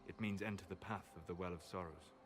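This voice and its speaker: A man playing a scholarly elf in a fantasy game, calm, formal and reverent in a deliberate way. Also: low-pitched, close to an everyday voice. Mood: solemn, reverent. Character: solemn, scholarly male elf, reverent of ancient things. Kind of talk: dramatic fantasy game acting. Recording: prominent music behind the voice.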